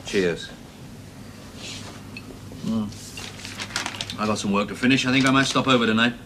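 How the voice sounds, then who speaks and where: An actor playing a brisk, impatient British man in charge of a team, speaking abruptly in a 1970s television drama. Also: on the low side, steady tone, lightly acted. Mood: inquisitive. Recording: slightly noisy 1970s television soundtrack.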